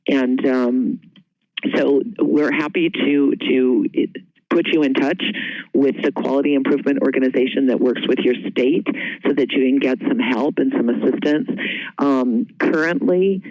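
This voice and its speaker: A man moderating an informal webinar discussion, speaking haltingly with pauses in mid-sentence. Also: medium pitch, steady tone, darkish tone, dry recording. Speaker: panel moderator taking audience questions. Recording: dull, band-limited webinar call audio.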